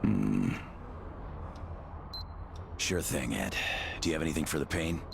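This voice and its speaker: Very deep, gruff soldier. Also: male voice, a little slow, noisy background, full character voice. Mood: dry. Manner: calm, laconic, reassuring. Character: steady, loyal male ex-Marine ranger. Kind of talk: cinematic video game acting.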